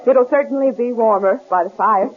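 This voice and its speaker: Radio drama female lead, actress: scared, suspicious, quick-witted traveler, urgent and guarded, thin lo-fi vintage sound. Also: fairly high pitch, close to an everyday voice. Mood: guarded, reluctant. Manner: tense, insistent, guarded. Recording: lo-fi old radio recording, faint hiss.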